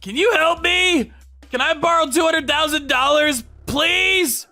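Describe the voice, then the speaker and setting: Man putting on a shrill, arrogant younger brother. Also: a little slow, gravelly, pushed and bright, faint music behind, heavily acted. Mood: flustered. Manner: sneering, overexcited, pleading. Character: arrogant, whining younger brother, adult salesman. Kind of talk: dramatized story dialogue.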